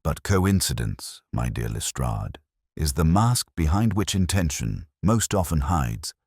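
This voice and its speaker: Male reader as keen master detective: very deep, crisp, imperious, precise, dry studio sound. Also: very animated, unhurried, darkish tone, no room sound, full character voice. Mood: musing, assured. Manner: formal, precise, emphatic, lecturing. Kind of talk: audiobook detective story dialogue.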